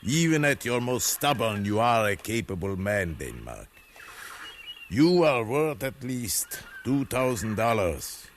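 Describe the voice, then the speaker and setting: An actor playing a gruff, scolding slave-owning ship captain with a soft side, blustering theatrically in 1950s radio drama. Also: male voice, medium pitch, sing-song, slow. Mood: grudging, wry. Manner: blustering, theatrical, punctuated with querying grunts. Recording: faintly noisy vintage radio recording.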